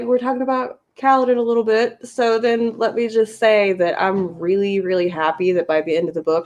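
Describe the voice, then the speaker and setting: A woman's voice: mid-range voice, darkish tone. A woman co-hosting a book livestream, chatting casually in an informal, slangy and emphatic way. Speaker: co-host of a book discussion livestream.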